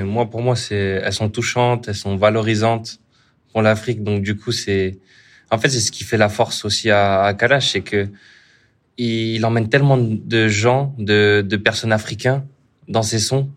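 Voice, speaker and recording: A young man, a footballer, answering interview questions casually with many restarts. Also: lowish voice. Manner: relaxed, halting, many restarts. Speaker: footballer guest.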